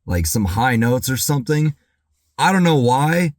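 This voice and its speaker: A man doing excited reaction commentary, clearing his throat as he recovers from being sick and saying dude and bro a lot. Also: mid-range voice, unhurried, no echo, studio quality. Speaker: music reaction YouTuber.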